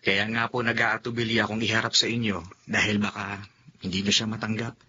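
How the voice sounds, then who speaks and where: A man's voice: lowish voice, little pitch movement, fairly quick, pushed and bright, slightly rough, exaggerated voice. An actor playing a sincere young man who speaks respectfully to his father in a radio drama. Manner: polite, sincere. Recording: muffled radio-play recording with faint noise.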